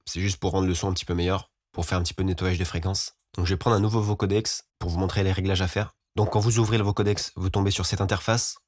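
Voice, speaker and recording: A man giving an informal, explanatory software tutorial as a voice-over. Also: deep voice, level tone, quick pace, few pauses, no echo, studio quality. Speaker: music production tutorial host.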